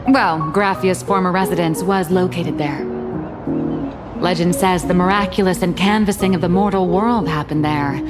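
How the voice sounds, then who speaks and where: A woman's voice: lowish voice, moderate pace, loud music behind the voice, natural voice. A woman voicing a breezy, cheeky female rogue author in a video game, playful and gossipy. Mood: gossipy, amused.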